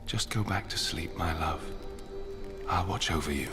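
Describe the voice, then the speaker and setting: Very deep, hushed lover. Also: male voice, moderate pace. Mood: tender, soothing. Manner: hushed, gentle, breathy. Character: tender, soothing male lover watching over his beloved. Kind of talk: scripted video game cutscene acting. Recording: loud music over quiet voice.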